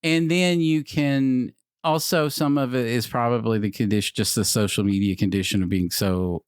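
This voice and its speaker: A man in his forties co-hosting a casual podcast chat, animated and swearing, with many restarts. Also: medium pitch, normal speed, clear, resonant tone, no echo, clean studio sound. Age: 40s. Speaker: podcast co-host.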